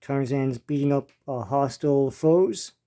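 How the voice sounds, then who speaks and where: An older man giving casual, unscripted show-and-tell commentary as a host, in a halting, musing and informal way. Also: medium pitch, fairly even intonation, mellow. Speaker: host leafing through vintage comic strips.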